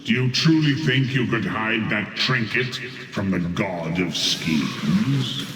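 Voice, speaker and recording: Evil gloating god in a game, slow menacing speech, echoey and a bit distant. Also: male voice, lowish voice, expressive. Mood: wrathful, gloating. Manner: menacing, grandiose, declaiming. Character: wrathful, gloating god of schemes, commanding undead. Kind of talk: video game villain dialogue. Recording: echoing distant voice over game music.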